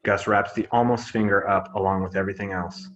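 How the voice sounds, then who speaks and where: A man's voice: fairly low pitch, steady tone, brisk, fairly bright tone, muffled recording. A man reading out scripted stage directions as narrator of a drama table read.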